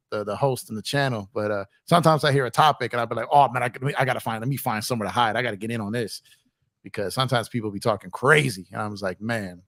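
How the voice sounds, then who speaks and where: A man with an American accent touched by New York, hosting a casual sports livestream in a slangy, repetitive, animated way. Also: mid-range voice, no room sound. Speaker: sports talk show host.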